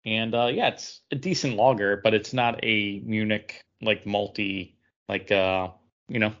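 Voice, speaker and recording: A man's voice: fairly low pitch, measured pace, fairly bright tone. Casual man podcasting on dull lo-fi audio. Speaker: podcast co-host. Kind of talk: casual chat about comics and beer.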